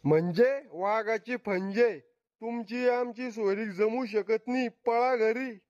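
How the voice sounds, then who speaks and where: Cartoon comedy: blunt old village grandfather played by a man, unhurried mocking drawl, dry muffled recording. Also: very high voice, darkish tone, no echo. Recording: dull, lo-fi cartoon audio.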